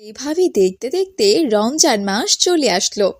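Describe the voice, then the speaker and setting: Female narrator, scripted cartoon storytelling, fairly high voice, dry close recording. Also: very animated, moderate pace, no room sound.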